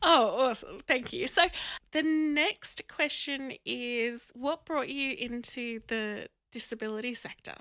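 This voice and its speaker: A woman's voice: a little high, unhurried, a bit bright, dry recording, clean studio sound. A woman hosting a podcast interview, asking questions and laughing along encouragingly. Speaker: podcast host. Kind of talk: interview host.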